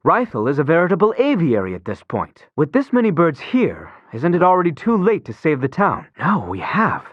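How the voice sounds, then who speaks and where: A man's voice: a little high, huge pitch swings, moderate pace, dry recording, lo-fi sound. A man playing an earnest, proud young retainer in a video game, speaking in formal, archaic courtly phrasing. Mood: questioning, concerned. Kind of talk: video game character acting.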